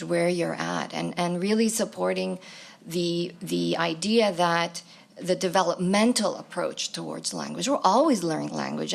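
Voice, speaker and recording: Woman panelist, Greek first language, low gravelly voice, reflective and halting. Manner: thoughtful, searching for words, frequent "right?" tags. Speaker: academic panelist. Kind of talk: answering questions at a symposium panel. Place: university lecture hall.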